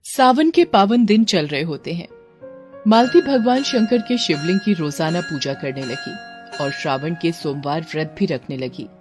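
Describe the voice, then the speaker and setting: A woman's voice: on the low side, expressive, moderate pace, darkish tone. A woman giving scripted narration of a moral story as the storyteller. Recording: prominent music under the voice.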